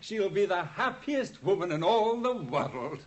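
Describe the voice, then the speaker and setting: An actor playing an overwrought, grateful young gentleman in 1950s radio style. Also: sits high, sing-song, measured pace, slight background noise. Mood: overcome with gratitude.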